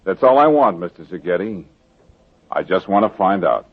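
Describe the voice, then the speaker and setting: On a thin, tinny radio recording, an easygoing American private eye. The lowish voice is animated, bantering and wry. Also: male voice, normal speed, loud music behind the voice. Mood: skeptical. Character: easygoing wisecracking male private investigator. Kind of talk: 1940s radio detective acting.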